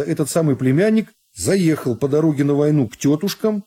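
A man narrating a literary story for a radio drama in a measured, phrase-by-phrase storytelling manner. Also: fairly high pitch, mellow, slightly rough. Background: music.

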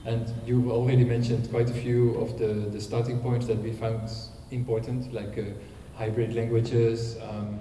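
A man presenting a project at a seminar, speaking politely and hesitantly with many pauses and ums. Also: mid-range voice, little pitch movement, dark tone, slight background noise. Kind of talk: seminar talk. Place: seminar room. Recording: distant mic in an echoey hall.

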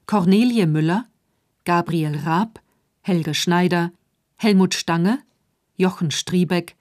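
Slow, low-voiced woman announcing, close and dry. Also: darkish tone, no room sound. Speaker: radio play announcer. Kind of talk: scripted radio credits announcement.

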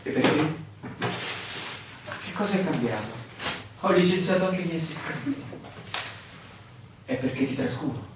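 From far off in a very echoey room, a weary, bewildered man in a radio drama. He speaks in a theatrical, searching way, as if pleading for answers. There is clear noise around his voice. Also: fairly high pitch, expressive, measured pace, pauses often. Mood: anxious, persuasive. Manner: theatrical, searching, full of questions. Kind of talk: Italian stage-style radio drama acting. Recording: very echoey, distant, clearly noisy room recording.

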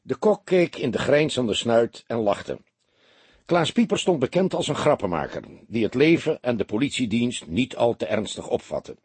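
Husky, expressive male audiobook narrator. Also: medium pitch, moderate pace, no room sound. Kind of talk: expressive scripted crime story narration.

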